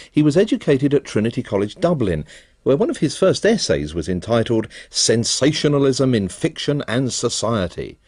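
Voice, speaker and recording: Dramatic low-voiced presenter. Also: male voice, a little mellow, no echo, clean studio sound. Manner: dramatic, slow-paced narration. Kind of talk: scripted documentary narration.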